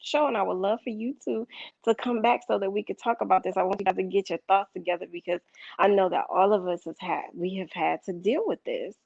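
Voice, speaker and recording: A woman hosts a casual discussion and speaks in a hurried way, turning to address her listeners directly. The sound is dull and dry, with no room around it. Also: medium pitch, fairly even intonation, creaky, a little mellow, dry recording. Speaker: podcast host.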